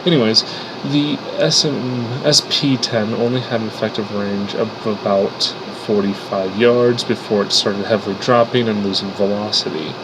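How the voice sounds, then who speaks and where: A man's voice: on the low side, normal speed, noisy background. A man who is sick and congested, explaining his 3D weapon models in a casual, unscripted way with fillers and restarts.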